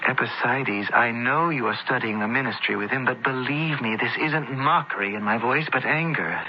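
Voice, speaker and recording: An actor playing a protective young Roman gentleman in a radio drama, earnest and formal in manner. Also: mid-range voice, normal speed, slight background noise, close to an everyday voice. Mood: earnest, stern. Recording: muffled radio broadcast with music.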